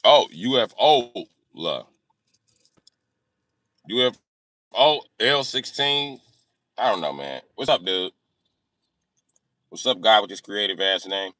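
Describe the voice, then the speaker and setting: A man's voice: on the low side, lively intonation, slow pace, long pauses, sharp tone, no room sound, clean studio sound. A man chatting casually on a livestream in a brash, joking, slangy way with lots of swearing. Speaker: livestream host reading and answering comments. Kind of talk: casual profane livestream chat.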